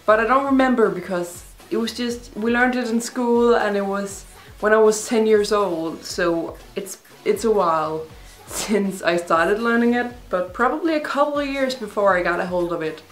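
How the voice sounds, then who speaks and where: A woman's voice: medium pitch, moderate pace. A young woman from Denmark, a native Danish speaker with a Danish accent, answering viewer questions in a chatty, rambling, self-deprecating vlog. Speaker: vlogger. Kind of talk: casual Q&A vlog. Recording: roomy echo, faint music behind.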